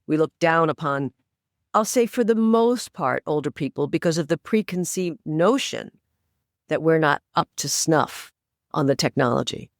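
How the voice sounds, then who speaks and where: A woman's voice: low-pitched, expressive, normal speed, dry recording, studio quality. A woman in her sixties, a podcast guest, speaking emphatically and persuasively as she urges listeners on. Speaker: podcast guest, inclusive AI advocate. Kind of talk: conversational interview.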